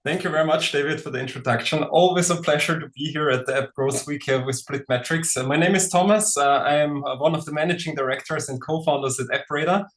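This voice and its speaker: A man's voice: fairly high pitch, fast talker, hardly any pauses, fairly bright tone. A man in his forties from Austria, with an Austrian German accent, presenting at a webinar with frequent hesitations. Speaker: co-founder and presenter.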